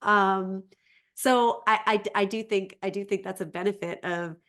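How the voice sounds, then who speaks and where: A woman's voice: medium pitch, steady tone, a little slow, fairly bright tone. Woman teacher explaining in conversation. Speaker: Ancient Greek teacher, interview guest.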